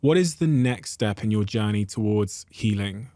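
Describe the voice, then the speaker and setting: Male host, low voice, probing questions, dry studio sound. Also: expressive, normal speed, warm tone, no echo. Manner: probing, summarizing the guest's story. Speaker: podcast host. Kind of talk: interview questions.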